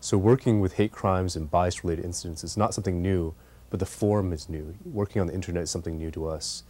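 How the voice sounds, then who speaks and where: A man speaking as an advocate in an interview, explaining deliberately and restating his points. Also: low-pitched, fairly even intonation, warm tone. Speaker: community advocate interviewed as expert.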